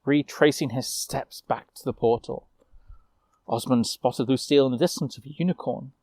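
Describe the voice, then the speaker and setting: A man telling a fantasy tale in character, moving between informal asides and measured narration. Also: medium pitch, darkish tone, no room sound. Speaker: storyteller.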